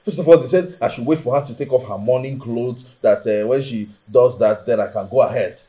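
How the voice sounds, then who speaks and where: An actor playing an aggrieved, entitled husband in a film drama, with a Nigerian accent and an indignant, repetitive manner full of rhetorical questions. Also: male voice, mid-range voice, fairly quick, dark tone, natural voice. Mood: agitated, suspicious. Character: aggrieved, hot-tempered, entitled husband bound to tradition. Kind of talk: naturalistic film drama acting.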